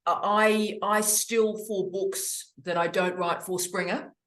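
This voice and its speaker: A woman's voice: medium pitch, steady tone, slow, a bit bright. A woman hosting online academic office hours, witty and opinionated, with theatrical asides, over a video call. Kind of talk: lively, opinionated casual chat. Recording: roomy echo on a video call.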